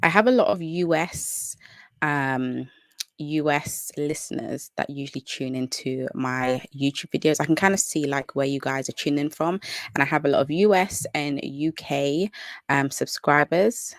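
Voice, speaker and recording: A young British woman chats brightly through a live stream, greeting viewers by name as she goes. Her voice carries a slight gravelly fry, and the sound is dry and close to the mic. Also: deep voice, a little slow, dry recording. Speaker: natural hair educator hosting a live Q&A.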